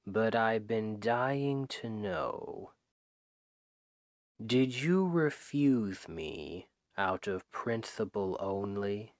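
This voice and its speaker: A man playing a suave, menacing, possessive demon king who woos his captive with slow, deliberate, softly condescending speech in an ASMR roleplay, close on the microphone. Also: on the low side, dry recording, heavily acted. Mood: hushed, vulnerable. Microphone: close microphone, dry.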